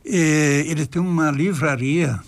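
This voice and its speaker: A man's voice: fairly high pitch, a little slow, no echo. An older man, breaking into the chat to tell family stories. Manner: storytelling, interjecting. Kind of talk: casual chat.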